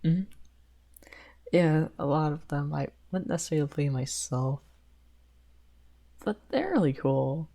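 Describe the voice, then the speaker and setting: A woman's voice: deep voice, a little slow, gravelly, pauses often, mellow, heavily acted. A woman playing a shy young male best friend in an ASMR roleplay, rambling hesitantly with stammers and nervous giggles. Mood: earnest rambling.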